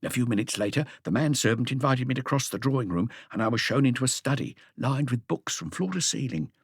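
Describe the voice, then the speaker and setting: An earnest police inspector in his thirties, voiced by a male narrator, gives a careful, deferential report in a detective audiobook. His delivery is animated and faintly husky, in a dry recording. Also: medium pitch, fast, no room sound. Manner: detailed, deferential reporting with asides. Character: thirty-five-year-old male police inspector, earnest, eager, slightly awkward.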